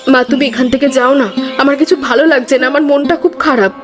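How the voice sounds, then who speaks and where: High-pitched earnest young village cook played by a woman. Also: fast talker, close to an everyday voice. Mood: irritated, sad. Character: hardworking young village woman running a food stall, earnest, easily upset. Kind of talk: cartoon voice acting. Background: background music.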